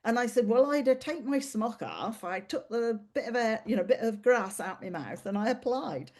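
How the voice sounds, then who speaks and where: A woman's voice: fairly high pitch, lively intonation, normal speed, darkish tone. Chatty English woman from Somerset. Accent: English, mildly West Country. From Somerset, England. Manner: chatty, anecdotal, laughs easily. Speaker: podcast host. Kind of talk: casual chat.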